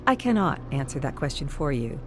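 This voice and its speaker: A narrator acting out a robot unit from a sci-fi audio drama. It speaks with formal courtesy and no visible feeling, calm and procedural, over a clear music bed. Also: mid-range voice, a little fast, lightly acted. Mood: impassive, formal. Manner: formulaic, polite, detached. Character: Hux robot unit, calm, formal, impassive servant machine.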